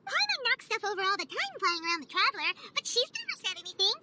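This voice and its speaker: A woman voicing a small, childlike flying fairy companion in a video game, excitable and dramatic, speaking of herself in the third person. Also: very high voice, fast, heavy background noise. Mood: complaining. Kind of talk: video game cutscene voice acting. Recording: music behind the voice.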